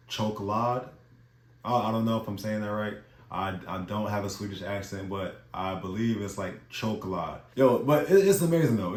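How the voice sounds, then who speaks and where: Man from the US, young adult, low voice, casual slangy vlog talk, roomy echo. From United States. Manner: relaxed, chatty, slangy. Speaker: vlogger. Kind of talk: casual talking-head vlog. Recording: echoey room.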